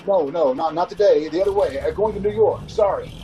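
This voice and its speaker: A man's voice: high-pitched, warm tone, muffled recording, lightly acted. A man playing a haunted, uneasy motorist who tells his story in an old-time radio drama. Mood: nervous, hesitant. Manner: measured narrating, nervous stammering in dialogue. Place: home, recorded over a video call.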